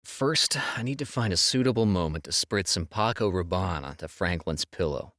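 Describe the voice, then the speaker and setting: A man playing an easygoing, sensitive husband in a con crew, speaking in a measured way in an audiobook drama. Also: sits low, expressive, fairly bright tone, no echo, clean studio sound. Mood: scheming, matter-of-fact. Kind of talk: full-cast audiobook dialogue acting.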